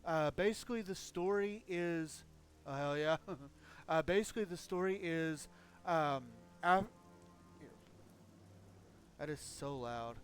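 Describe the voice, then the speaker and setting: A man's voice: fairly high pitch, slow pace, long pauses, soft background music. A man live-streaming a game, talking casually with a scratchy throat and rambling explanations full of fillers.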